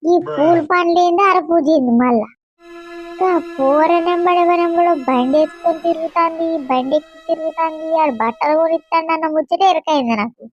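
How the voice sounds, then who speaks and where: A woman's voice: extremely high pitch, fairly quick, highs cut off, soft background music. A woman voicing a nosy, scolding village gossip in a cartoon, with an earthy, exclamatory manner and a Telangana accent. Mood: scandalised.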